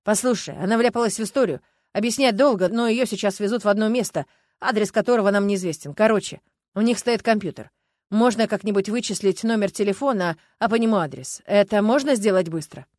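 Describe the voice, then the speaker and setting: A woman's voice: medium pitch, a little fast, darkish tone, no room sound, clean studio sound, natural voice. A woman voicing a tough, furious male police captain who barks short, curt orders, in an audiobook. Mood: curt, tense. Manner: curt, barking, angry. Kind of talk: audiobook character voice.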